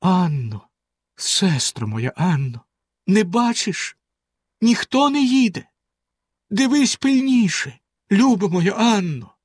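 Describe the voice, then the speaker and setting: A desperate young wife in a storybook, begging and calling out in a high, strained voice, as a male narrator puts her on. The sound is close and dry. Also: very animated, slow, long pauses, husky, no echo, exaggerated voice. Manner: high, pleading, tearful voice.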